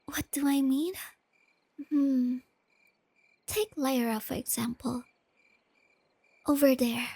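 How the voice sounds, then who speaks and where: A woman playing a shy, nerdy, tender young girlfriend in a soft-spoken ASMR roleplay, with a breathy near-whisper and hesitant, gently stammering delivery, crickets chirping behind her. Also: high voice, fairly even intonation, slow pace, pauses often, dry recording, full character voice. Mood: earnest, gently teasing. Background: crickets and night insects.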